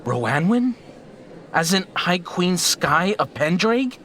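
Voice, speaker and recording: Man acting a meek, high-voiced, stammering imperial subject. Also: big pitch swings, takes it slowly, a bit bright, slight background noise, full character voice. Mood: puzzled. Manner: hesitant, stammering, deferential. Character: meek, awestruck male subject of a fantasy empire. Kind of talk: fantasy audio drama acting.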